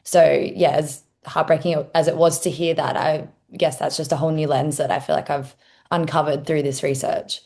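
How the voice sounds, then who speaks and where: A woman's voice: deep voice, fairly even intonation, moderate pace, darkish tone. A woman student sharing a research reflection on an online panel, in a thoughtful, tentative way. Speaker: student presenter.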